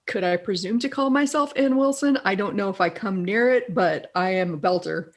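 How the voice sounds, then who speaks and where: Raspy-voiced woman telling stories. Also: normal speed. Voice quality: deeper raspy voice. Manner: animated storyteller, laughs often. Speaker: guest, copywriter. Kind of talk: casual interview chat.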